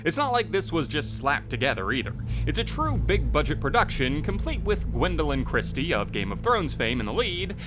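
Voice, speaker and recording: Male video host, scripted narration, highly animated intonation, music bed underneath. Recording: music playing behind the voice. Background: loud music.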